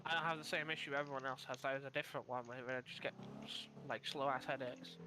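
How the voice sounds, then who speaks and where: A man's voice: medium pitch, fairly even intonation, fast talker, background noise. A man chatting casually and swearing freely during a police roleplay game, as a training officer. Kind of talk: casual in-character roleplay chat.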